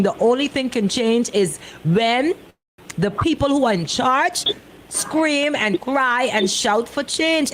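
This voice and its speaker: A woman's voice: a little high, a little hiss or hum, poor audio. A woman with a Bahamian accent hosting live talk radio, speaking emphatically and repeating phrases to drive her point home. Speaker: radio talk show host. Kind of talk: live radio call-in and banter. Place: radio studio.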